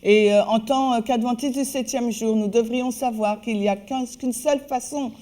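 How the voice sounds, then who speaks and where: A woman working as a live interpreter, speaking in halting phrases with frequent hesitations and restarts. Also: on the high side, steady tone, warm tone. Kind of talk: consecutive spoken translation of a talk.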